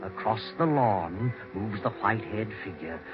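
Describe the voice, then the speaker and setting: A foreboding male narrator from a 1940s radio thriller. The voice is low and measured, full of grave drama. Music plays clearly behind it on a dull vintage recording. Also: lively intonation. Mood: ominous, grave.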